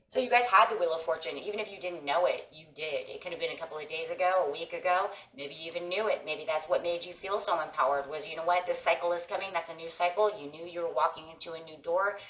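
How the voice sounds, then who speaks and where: A woman with a hoarse voice from a sinus infection, giving a casual tarot reading and speaking encouragingly to viewers as friends. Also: low-pitched, fairly bright tone, echoey room, rough recording. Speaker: tarot reader. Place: table with tarot cloth, indoors.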